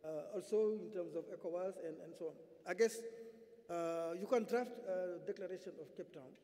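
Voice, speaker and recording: A man from Senegal moderating a conference panel from a podium in a large hall, emphatic and halting, with many fillers. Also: sits high, warm tone. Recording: hall reverb.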